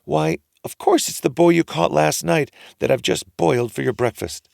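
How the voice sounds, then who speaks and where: Guy doing ogres wife in a fairy tale, higher pitched older lady, fussy and doting, sing-songy nagging. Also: normal speed, vocal fry, darkish tone, dry recording, studio quality. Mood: chiding, fussy.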